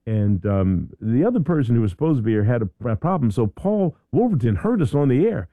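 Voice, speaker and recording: Animated middle-aged man hosting morning radio. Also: medium pitch, brisk, no room sound, narrow, tinny audio. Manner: animated storyteller, rambling with frequent restarts. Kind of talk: casual radio chat. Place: radio studio. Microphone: studio microphone.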